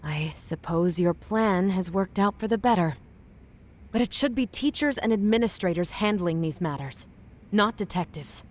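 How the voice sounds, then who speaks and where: An actress playing a stern, disapproving schoolteacher in a game drama dub, speaking formally and coolly. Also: female voice, medium pitch, animated, moderate pace, darkish tone, natural voice. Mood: cool disapproval.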